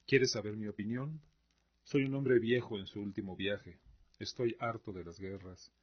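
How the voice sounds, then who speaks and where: Man playing a weary old emperor, low voice. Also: steady tone, moderate pace, close to an everyday voice. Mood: weary, measured. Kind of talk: scripted audio drama acting. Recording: dull lo-fi recording.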